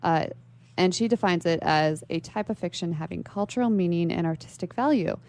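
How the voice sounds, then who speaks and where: A woman with a fairly low voice and plenty of creak talks as a guest on a radio show. She explains literary ideas thoughtfully, in an unscripted conversational style. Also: brisk, a little mellow. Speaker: interview guest; library worker.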